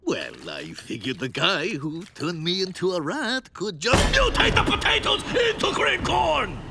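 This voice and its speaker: A man's voice: high-pitched, sing-song, unhurried, creaky, heavy background noise, heavily acted. A man voicing a cranky old rat father in a cartoon, fussy, indignant and theatrical. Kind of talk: animated cartoon voice acting.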